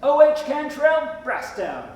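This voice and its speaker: Woman playing slow, deliberate villager, very echoey. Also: sits high, very animated, a little mellow, full character voice. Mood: solemn, deliberate. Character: deliberate rural townsfolk pledging to help. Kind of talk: scripted stage play recitation. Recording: very echoey hall.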